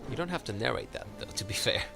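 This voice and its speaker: A man co-hosting a casual film podcast, talking at length with many pauses and fillers. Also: medium pitch, expressive, vocal fry. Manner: rambling, hesitant with many fillers. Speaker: podcast co-host. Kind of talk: casual chat about films.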